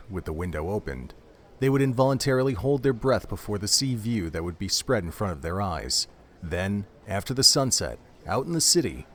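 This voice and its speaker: A man narrating a scripted audio drama in a measured, descriptive storytelling manner. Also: fairly low pitch, a little hiss or hum.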